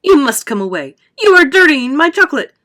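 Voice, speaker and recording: An eccentric gentleman chocolate maker, put on by a woman reader, excitable and fussy. His storybook voice swoops about brightly, exclaiming and repeating himself insistently. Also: high voice, a little fast, pitched above the performer's own voice, heavily acted. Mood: alarmed pleading.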